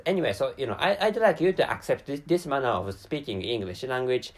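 A man from Japan with a Japanese accent, a native Japanese speaker, giving an unscripted podcast monologue in a very halting, rambling way with stammered repeats of words and syllables. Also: mid-range voice, animated. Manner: rambling and digressive. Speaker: podcast host.

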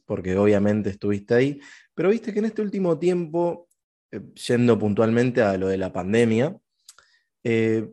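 A man's voice: on the low side, dark tone. A man with an Argentine accent hosting a casual interview, asking long rambling questions full of fillers. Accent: Argentine Spanish. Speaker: host.

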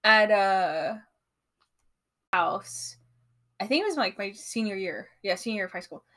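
A woman's voice: mid-range voice, animated, measured pace, fairly bright tone. A young woman in casual podcast chat, rambling with lots of fillers and laughing often.

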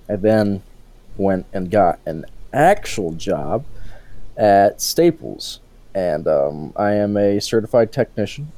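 A man's voice: on the low side, warm tone. An eighteen-year-old man co-hosting a casual podcast, rambling and restarting his sentences as he talks. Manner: rambling, self-interrupting, with frequent restarts. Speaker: podcast co-host.

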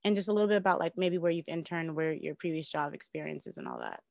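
Creaky-voiced woman interviewer, casual. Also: deep voice, quick pace, darkish tone, dry recording. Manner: informal, encouraging interjections. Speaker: interviewer, host.

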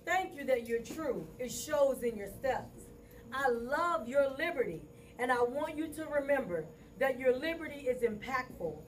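A woman's voice: sits high, expressive, normal speed, far from the mic, a little hiss or hum, low-quality recording. A woman performing spoken word poetry live, in a rhythmic, rhyming recitation.

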